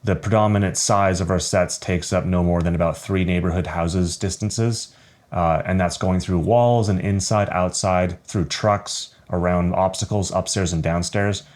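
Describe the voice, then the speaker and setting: A man's voice: deep voice, fairly even intonation, moderate pace, a little mellow. A man who works as a sound recordist, narrating a scripted product review.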